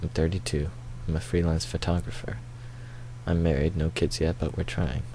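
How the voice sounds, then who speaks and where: A man's voice: sits low, level tone, moderate pace, creaky, mellow, slight background noise, low-quality recording. A man reading the part of a troubled married photographer in his thirties, in subdued first-person visual novel narration. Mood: brooding, subdued. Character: troubled thirty-two-year-old married male photographer, brooding narrator.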